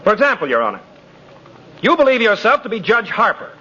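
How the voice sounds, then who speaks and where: Young attorney, sharp forceful higher voice, earnest, confident, old-time radio drama. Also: male voice, wildly swooping pitch, fairly quick, breathy edge, exaggerated voice. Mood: earnest, insistent. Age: young adult. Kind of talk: 1950s radio drama acting. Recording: dull, noisy vintage radio recording.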